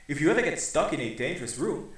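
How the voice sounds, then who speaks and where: A man's voice: on the high side, expressive, fast, close to an everyday voice. A man putting on a pompous German-accented old villain. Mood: scornful. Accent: put-on German. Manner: haughty, scornful, lecturing. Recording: echoey roomy recording.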